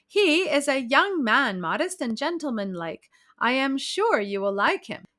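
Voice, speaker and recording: A woman's voice: high voice, very animated, measured pace, sharp tone, pitched above the performer's own voice, heavily acted. A woman voicing a chatty, insistent society lady who gushes about a drawing teacher. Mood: gushing. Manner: gushing, persistent.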